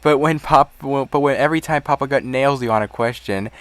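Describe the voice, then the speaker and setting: A man's voice: mid-range voice, brisk, smooth, no echo. A man giving casual, exasperated commentary on online drama, swearing and rambling through asides.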